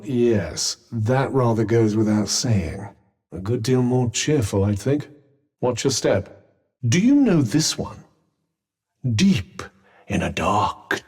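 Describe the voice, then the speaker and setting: A sardonic talking cuff. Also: male voice, mid-range voice, a little mellow, slightly rough, full character voice. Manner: dry, wry and theatrical, with archaic phrasing. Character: sardonic, talkative magical cuff, male mentor. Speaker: voice actor. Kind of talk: game character voice lines.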